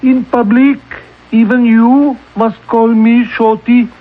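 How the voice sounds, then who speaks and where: An actor playing a genial, exclamatory crown prince of a small mountain country in a 1970s radio drama. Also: male voice, very high voice, flat delivery, slow, heavily acted. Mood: delighted, breezy. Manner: jovial, exclamatory, grand. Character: genial, six-foot crown prince of a poor Himalayan country. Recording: tinny, band-limited old radio broadcast.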